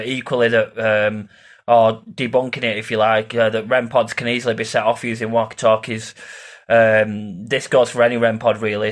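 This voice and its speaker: Man giving a rambling informal explainer. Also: medium pitch. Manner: rambling, repeats "yeah" and "obviously" as tags. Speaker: paranormal investigator and video host.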